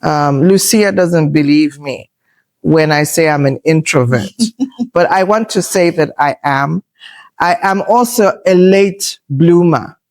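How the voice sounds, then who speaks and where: A woman in her fifties hosting a podcast, speaking slowly and deliberately. Her voice is loud and assertive, and she talks in a thoughtful, reflective way with frequent pauses. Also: sits low. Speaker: podcast host. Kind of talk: reflective conversational talk.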